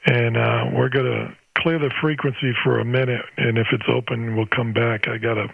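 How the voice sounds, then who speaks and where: A man's voice: medium pitch, fairly even intonation, normal speed, vocal fry, slightly rough, no echo. American man on dull-sounding ham radio. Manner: conversational, uses radio jargon. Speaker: amateur radio operator, channel host. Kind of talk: casual ham radio exchange. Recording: dull, band-limited radio audio.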